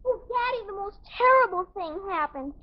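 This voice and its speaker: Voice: extremely high pitch, expressive, moderate pace. A performer playing an innocent, whiny young American daughter in a 1950s radio comedy. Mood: pleading, sheepish. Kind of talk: 1950s radio sitcom acting. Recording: muffled 1950s radio recording.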